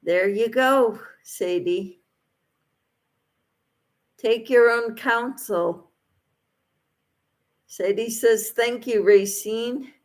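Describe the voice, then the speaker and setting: A woman's voice: a little high, drawn-out words, pauses often. A woman hosting a casual livestream, reading out viewers' comments and replying to them in an encouraging way. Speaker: livestream host.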